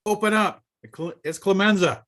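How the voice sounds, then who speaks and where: A man's voice: high voice, normal speed, fairly bright tone. A man playing a placating, matter-of-fact mob henchman in a live table read of a film script.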